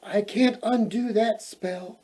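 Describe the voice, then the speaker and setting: A man puts on a tiny storybook fairy, a kindly little female creature. The small voice is high and gentle, speaking slowly and earnestly. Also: breathy edge, exaggerated voice. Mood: gentle, hopeful. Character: tiny, kind, youngest-sized female fairy.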